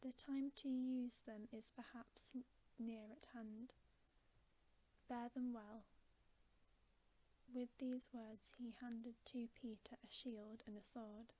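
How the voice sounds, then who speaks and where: A woman whispers a bedtime story in a soft, breathy ASMR style. Her delivery is very slow and gentle, with little rise and fall. The tone is mellow and hushed throughout. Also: on the high side, level tone, low-quality recording. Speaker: ASMR reader.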